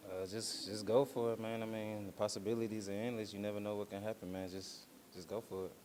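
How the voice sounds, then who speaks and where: A man with an American accent speaks in a deep, level voice. His interview answers are casual and colloquial, with faint noise in the background. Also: flat delivery, a little fast, darkish tone. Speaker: stage actor being interviewed. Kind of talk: casual chat.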